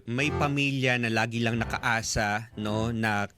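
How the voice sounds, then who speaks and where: A man's voice: medium pitch, steady tone, measured pace, sharp tone, a little hiss or hum. A Filipino man co-hosting radio, giving earnest, sympathetic advice in casual talk with frequent tag questions. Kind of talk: casual advice talk, mixing Tagalog and English. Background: music bed with guitar or instruments.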